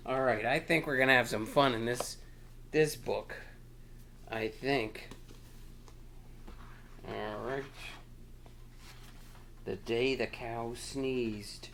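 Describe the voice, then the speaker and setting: A man hosting a storytime, chatting casually and playfully with young children in an encouraging, halting, rambling way. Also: mid-range voice, fairly even intonation, vocal fry, fairly bright tone, slight background noise. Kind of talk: casual read-aloud chat to young children.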